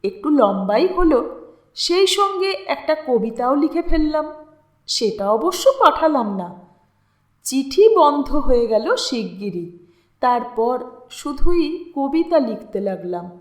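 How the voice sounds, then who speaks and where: A woman narrating a literary story in an expressive, deliberate, dramatic way with lingering pauses. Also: high-pitched. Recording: roomy, echoing sound.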